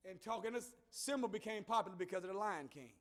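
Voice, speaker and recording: A man delivers a sermon in a very high voice. He calls to his listeners and works them into responses, climbing to loud shouted passages. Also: expressive, quick pace. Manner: call-and-response preaching, rising to shouts. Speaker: minister.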